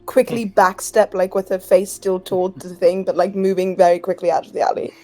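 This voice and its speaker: Woman, soft dark tone, medium pitch, casual game player, faint music behind. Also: level tone, a little fast. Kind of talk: casual chat.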